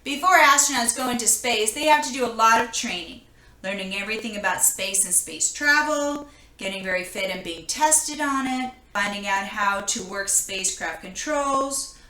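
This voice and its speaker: Female storybook reader, clear-cut bright tone, expressive read-aloud for young children, roomy echoing indoor recording. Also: a little high, moderate pace.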